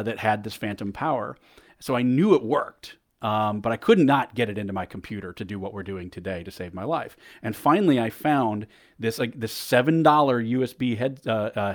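A man with a bassy voice chatting casually on a podcast, rambling with restarts, recorded on a headset mic. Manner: rambling, frequent restarts and fillers. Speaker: podcast co-host and event tech guest. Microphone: over-the-ear headset mic.

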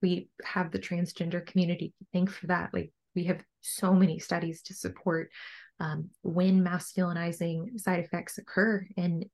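A young adult woman with a low voice, talking casually and earnestly as a coach being interviewed on a podcast. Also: little pitch movement, normal speed, a little mellow. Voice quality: low-pitched voice. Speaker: bodybuilding coach and podcast guest.

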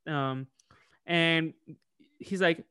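A man co-hosting a podcast, recapping a cartoon episode in casual chat, animated and laughing often. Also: on the high side, drawn-out words, smooth, no room sound, studio quality. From United States. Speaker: podcast co-host.

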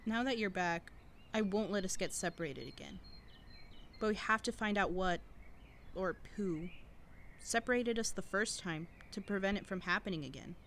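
A woman's voice: medium pitch, moderate pace, fairly bright tone, a little hiss or hum, close to an everyday voice. A woman acting as an anxious, guarded teenage student in a fiction podcast, awkward and dryly evasive. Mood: earnest, tender. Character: anxious teenage student girl who records cassettes, guarded. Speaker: voice actor.

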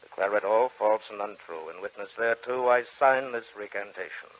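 Male actor as menacing church judge, low voice, theatrical, drawn-out threats, muffled vintage radio drama sound. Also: natural voice. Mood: solemn, cold. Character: stern, menacing male church inquisitor judging a heretic. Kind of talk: old-time radio drama acting. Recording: dull, band-limited old radio recording.

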